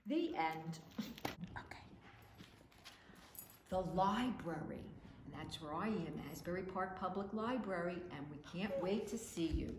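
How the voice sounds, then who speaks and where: Lady reading a kids book out loud, real slow and animated, kinda echoey room. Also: fairly low pitch. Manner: expressive, deliberate storytelling delivery. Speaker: children's librarian, storytime reader. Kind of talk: reading picture books aloud to children. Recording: roomy echo with faint background noise.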